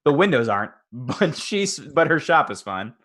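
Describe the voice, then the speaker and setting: A man's voice: mid-range voice, big pitch swings, moderate pace, fairly bright tone. A man playing a tabletop game, talking casually with hesitations and laughs. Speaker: player. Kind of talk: casual tabletop play chat.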